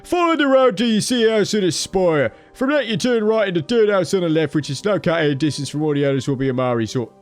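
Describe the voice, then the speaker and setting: A man's voice: high voice, lively intonation, fast, hardly any pauses, soft background music, voice pushed up. A man voicing a friendly undead skeleton laborer who talks in a folksy, slangy, rambling way.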